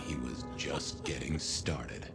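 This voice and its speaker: An actor playing a wry, world-weary vampire detective in a dry noir video game style. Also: male voice, rumbling low, takes it slowly, creaky, loud music behind the voice, exaggerated voice. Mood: brooding.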